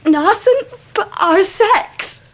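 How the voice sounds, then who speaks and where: A disgraced young in a Victorian radio drama. Her voice is high and slow. She speaks in an imploring, highly emotional way. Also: expressive, a bit bright, full character voice. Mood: frightened pleading. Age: young adult. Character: pleading, disgraced young working-class Victorian woman. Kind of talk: 1990s radio drama acting.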